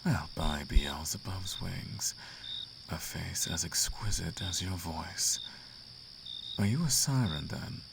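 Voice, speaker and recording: A man's voice: low-pitched, slow, background noise, full character voice. A man playing a suave, flirtatious, imperious demon prince in an intimate roleplay monologue, teasing and silky with grand formal phrasing. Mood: curious, flirtatious. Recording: clear outdoor cricket ambience behind.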